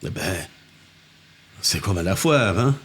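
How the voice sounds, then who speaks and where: An older man from Congo, a mayor telling animated anecdotes in a casual interview, with restarts and asides, recorded on a living-room podcast set. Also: deep voice, slow pace, a little hiss or hum. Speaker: mayor, political guest.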